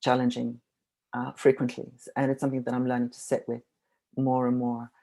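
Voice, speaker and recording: A panelist from Iceland speaking slowly and thoughtfully on a video call, pausing often. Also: medium pitch, completely flat delivery, vocal fry, mellow. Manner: thoughtful, many pauses. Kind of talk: reflective discussion.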